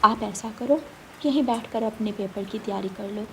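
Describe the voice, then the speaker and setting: A woman playing a kind, grateful, motherly farmer's wife in a children's cartoon story, speaking in a gentle, caring way. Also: medium pitch, moderate pace, a little hiss or hum, poor audio.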